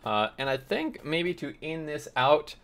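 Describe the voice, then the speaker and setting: A slightly hoarse man hosting a game tutorial, explaining step by step with lots of fillers and restarts. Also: fairly high pitch, expressive, measured pace, fairly bright tone. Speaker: let's play host. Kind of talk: tutorial gameplay commentary.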